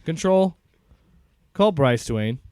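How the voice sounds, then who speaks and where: A man's voice: a little high, lively intonation, takes it slowly, darkish tone, clean tone, no echo, studio quality. A man playing a blunt, exasperated intelligence agency director in conversational audio drama acting. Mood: earnest, weary.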